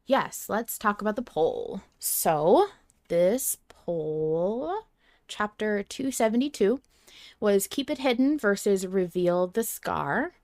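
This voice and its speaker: A woman's voice: mid-range voice, expressive, slow pace, darkish tone, no room sound, clean studio sound. A woman co-hosting a fan podcast in a casual, informal discussion style, swearing freely. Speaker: podcast co-host.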